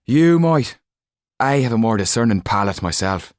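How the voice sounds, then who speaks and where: Male narrator as outspoken male cook, blunt, scornful, raised pitch, audiobook character. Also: lively intonation, normal speed, dry recording, clean studio sound, close to an everyday voice. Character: brash, outspoken male château chef.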